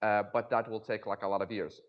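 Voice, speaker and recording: A man's voice: on the low side, fairly even intonation, brisk, muffled recording. A man from Iraq, in his thirties, telling stories casually as a podcast guest, often repeating words as he searches for phrasing. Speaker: podcast guest, cosmetic dentist. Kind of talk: casual conversational storytelling.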